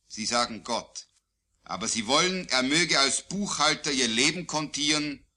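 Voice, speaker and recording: A man reciting his own poetry solemnly, in a declamatory, deliberate way with phrases falling at the line endings. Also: medium pitch, sharp tone. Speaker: poet. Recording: digitized vintage vinyl record.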